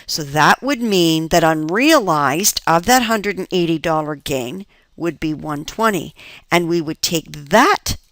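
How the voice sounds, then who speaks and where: A woman's voice: deep voice, animated, unhurried, fairly bright tone, dry recording. A woman teaching as an accounting instructor, explaining methodically step by step and often posing questions that she then answers herself. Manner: step-by-step, asks and answers her own questions. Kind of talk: explanatory lecture for an instructional video.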